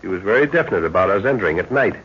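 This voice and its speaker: An actor playing a calm, authoritative middle-aged father leading a jungle expedition in a 1930s radio adventure, speaking in a measured, commanding way. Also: low-pitched, breathy edge. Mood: matter-of-fact. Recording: muffled, noisy 1930s radio recording.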